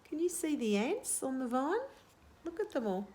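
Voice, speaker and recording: Woman reading to young children, lively pitch. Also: normal speed. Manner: simple explaining, asking questions to young listeners. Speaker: children's storytime host. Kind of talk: storybook read-aloud with asides to young children.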